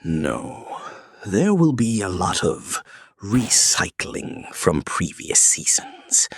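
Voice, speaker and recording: A tipsy old-hand male guide acted out by a narrator who explains things slowly and patiently. The voice is husky and slightly slurred, as heard in an animated fantasy recap. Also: low-pitched, no echo, studio quality, full character voice. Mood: patient advising. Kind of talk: animated story dialogue.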